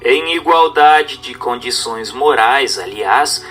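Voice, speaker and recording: A man's voice: mid-range voice, expressive, slow pace, fairly bright tone, soft background music. A man with a Brazilian accent reading a book aloud as scripted narration, in a formal, didactic manner. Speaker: audiobook narrator.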